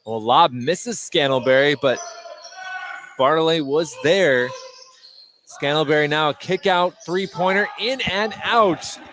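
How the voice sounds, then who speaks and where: A man's voice: a little high, unhurried, a bit bright. A man doing live play-by-play radio commentary of a basketball game. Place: indoor high school gym during a game. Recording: noisy gymnasium with bouncing basketballs. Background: game noise, bouncing basketballs.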